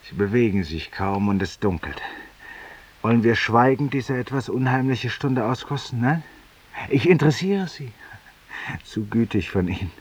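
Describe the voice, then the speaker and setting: An actor playing a cynical, self-accusing former lawyer in a 1950s German radio drama monologue, theatrical and ironic, husky, confiding in a listener. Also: male voice, medium pitch, expressive, moderate pace. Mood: ironic, musing. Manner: theatrical, ironic, confiding, dropping to near whispers. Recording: muffled, roomy 1950s radio recording.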